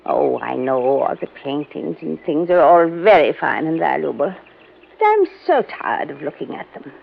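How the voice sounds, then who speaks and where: An actress playing a chatty, fluttery elderly widow in a radio mystery, with a gravelly voice. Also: female voice, low voice, sing-song, moderate pace, exaggerated voice. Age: older. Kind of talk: 1940s radio acting. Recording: dull old radio broadcast.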